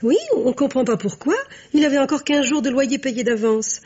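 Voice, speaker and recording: A good-natured, naive woman in her thirties who chatters in a very high, slightly husky voice. She is gushing, plaintive and happy to mock herself. It is 1950s French radio drama, with a muffled vintage sound. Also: normal speed, warm tone. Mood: excited, confiding.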